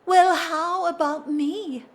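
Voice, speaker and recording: A woman's voice: very high-pitched, fairly even intonation, slow. A woman playing a restless, bored aristocratic wife in a period audio drama, theatrical and wry, with emphatic swells. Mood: wry complaint. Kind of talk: period drawing-room audio drama acting.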